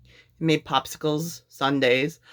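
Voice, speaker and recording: A woman narrates a children's storybook. Her delivery is very unhurried, in a slightly low voice. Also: steady tone, a bit bright. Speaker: storybook reader.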